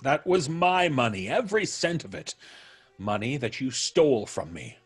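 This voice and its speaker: A man's voice: mid-range voice, sing-song, moderate pace, breathy edge, faint music behind. An actor playing a vengeful ex-convict blackmailer in old-time radio style, taunting and mock-polite as he threatens. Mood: taunting, menacing.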